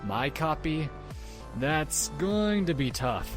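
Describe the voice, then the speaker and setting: Man as a deadpan, slangy teenage cool kid. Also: fairly high pitch, takes it slowly. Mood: cool, evasive. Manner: laid-back, deadpan, slangy. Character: cool, smug, sardonic teenage boy rapper. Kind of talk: casual rapping and slangy dialogue acting. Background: music.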